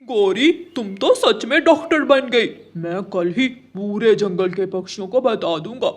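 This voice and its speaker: A woman's voice: mid-range voice, lively intonation, a little slow. A woman voicing a proud, proclaiming crow husband in a children's cartoon. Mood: admiring. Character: proud crow husband announcing to forest birds.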